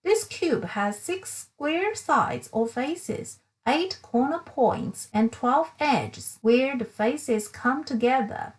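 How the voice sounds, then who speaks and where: A woman's voice: high-pitched, expressive, slow, hardly any pauses, poor audio. A woman voicing a thoughtful, curious young male knight in a storybook, working problems out aloud.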